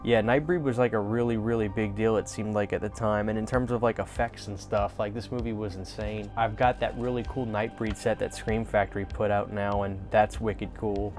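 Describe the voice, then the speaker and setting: A man's voice: fairly low pitch, a little mellow. A man hosting a fan video, talking casually and enthusiastically in an informal vlog style. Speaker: host of a horror fan video channel.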